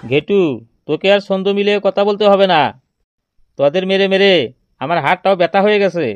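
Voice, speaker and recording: A man's voice: high voice, fairly quick, smooth, no echo, highs cut off, natural voice. Guy doing a grumpy strict male schoolteacher in a funny cartoon, scolding, dry close sound. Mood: grudging. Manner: scolding, exasperated. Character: stern, hot-tempered male village schoolteacher, threatening pupils. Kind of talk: comedy cartoon dialogue.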